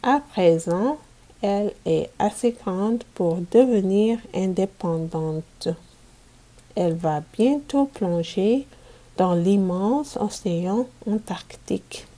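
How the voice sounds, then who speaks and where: A woman reading a picture book aloud to children as a teacher, in a deliberate manner made for learners. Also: medium pitch, dark tone. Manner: deliberate, repeats sentences for learners.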